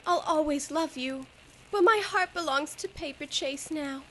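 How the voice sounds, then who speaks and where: An actress playing a torn young flapper in an old-time radio drama, tender and halting in a melodramatic way. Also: female voice, sits high, slight background noise, rough recording. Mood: apologetic. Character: torn, sweet young flapper fiancée, firm but tender. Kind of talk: 1930s radio drama acting.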